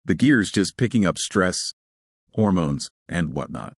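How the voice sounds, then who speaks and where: A man's voice: deep voice, expressive, measured pace, no room sound, studio quality, full character voice. A man voicing a jumpy, chatty male technician who explains things casually in an audio story. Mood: casual, musing.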